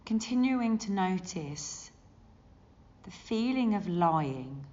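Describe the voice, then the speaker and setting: A woman leading a guided meditation as a teacher, gentle and soothing, with unhurried phrases and long pauses. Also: mid-range voice, expressive. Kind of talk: guided body scan meditation. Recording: dull, muffled lo-fi sound.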